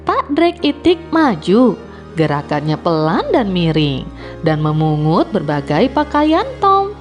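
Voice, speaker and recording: Slow, expressive woman reading a children's tale. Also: medium pitch. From Indonesia. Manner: expressive, deliberate read-aloud delivery. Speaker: children's storyteller. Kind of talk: scripted fairy-tale narration for children. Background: music.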